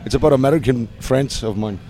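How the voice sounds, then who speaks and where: A man with a German accent, a punk band singer, answering interview questions hesitantly with frequent restarts and soft laughs. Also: mid-range voice, quick pace.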